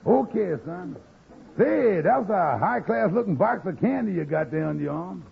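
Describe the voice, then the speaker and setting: A man's voice: sits high, animated, moderate pace, gravelly, heavily acted. A man playing a good-natured, inquisitive cab driver in a folksy, questioning way in a 1950s radio comedy. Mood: curious, friendly. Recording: muffled, hissy old radio recording.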